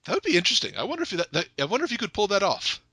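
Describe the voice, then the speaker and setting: A man's voice: high voice, wildly swooping pitch, rapid-fire, bright, forceful tone, slightly rough, dry recording. A man hosting a casual chat, playful and joking. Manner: playful, joking, frequent laughs. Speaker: podcast host.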